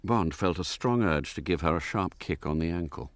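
An actor as a measured narrator, reading scripted story narration in a radio drama. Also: male voice, sits low, a little hiss or hum, lightly acted. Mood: measured, dramatic. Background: music.

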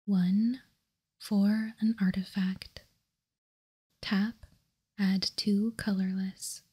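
Female ASMR host, breathy whisper, unhurried and deliberate, flat pitch, soft dark tone. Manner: slow, deliberate, reading card text piece by piece. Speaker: host of a trading card ASMR channel. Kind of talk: ASMR whispered card showcase.

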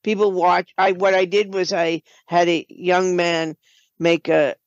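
An older woman answers in an interview at a very slow, halting pace. Her voice is on the low side for a woman. The recording is a bit dull and lo-fi. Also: flat delivery, no room sound. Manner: halting, deliberate. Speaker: guest, game writer and producer. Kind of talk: conversational interview. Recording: slightly dull, lo-fi sound.

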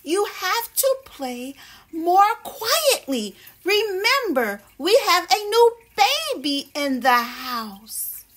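Woman reading as a high-voiced, affectionate storybook mother. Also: sing-song, fairly bright tone, heavily acted. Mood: scolding. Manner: calling out slowly and emphatically. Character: Peter's mother, firm but affectionate young-family mom.

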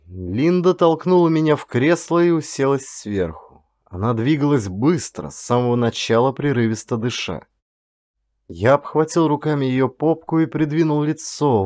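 A man's voice: a little high, a little mellow, no echo, clean studio sound. A man reading a first-person audiobook thriller as a dramatic scripted narration.

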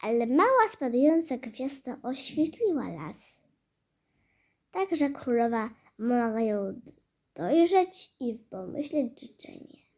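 A woman storyteller narrating a fairy tale in a halting, hesitant way, with stumbles and repeated phrases. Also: fairly high pitch, big pitch swings, mellow. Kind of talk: fairy-tale storytelling for a hobby audio play.

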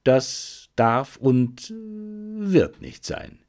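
A man voicing a confident gentleman amateur detective in an audiobook, self-assured and commanding, given to reflection. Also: medium pitch, sing-song, drawn-out words, exaggerated voice. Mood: satisfied, musing.

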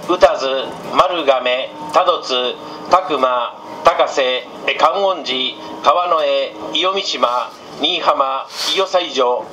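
A male train conductor gives formal, routine announcements in a bright, forward voice pitched a little high. He sounds polite and practised, coming over the cabin PA with clear train noise behind him. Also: low-quality recording. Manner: polite, formulaic, reciting station lists.

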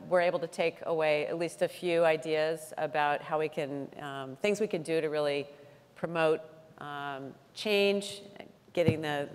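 Low-voiced woman moderator, hall echo. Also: clear, resonant tone. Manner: casual, rambling, filler-heavy. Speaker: panel moderator. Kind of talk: panel discussion. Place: large conference hall stage. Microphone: handheld mic. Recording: reverberant hall.

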